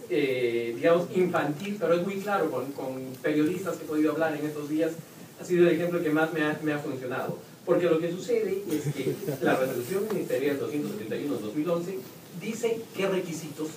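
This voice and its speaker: A man with a Peruvian accent speaking on a university panel, explaining points with examples and occasional restarts. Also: fairly high pitch. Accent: Peruvian Spanish. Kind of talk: academic panel talk. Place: lecture hall at a university event. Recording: roomy, distant hall recording with noise.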